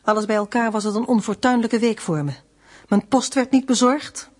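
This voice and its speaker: A woman's voice: a little high, steady tone, normal speed, a little mellow, close to an everyday voice. An actress playing a beleaguered, wry dissident mother under interrogation in a Dutch radio play, restrained and dryly defiant. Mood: weary, bitter.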